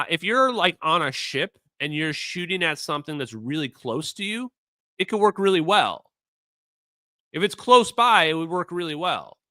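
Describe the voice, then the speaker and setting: Minnesota man, bright rambling stream talk. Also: fairly high pitch, no room sound, clean studio sound. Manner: rambling, animated, fillers and self-repeats. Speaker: streamer host. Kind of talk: casual livestream talk.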